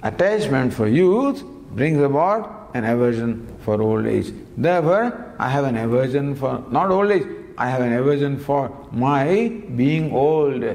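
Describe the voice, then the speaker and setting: A man giving a spiritual discourse on scripture, teaching in a lively, gently humorous way with many rhetorical questions, recorded in a lecture hall. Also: mid-range voice, unhurried, hardly any pauses. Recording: long hall reverb, faint background noise.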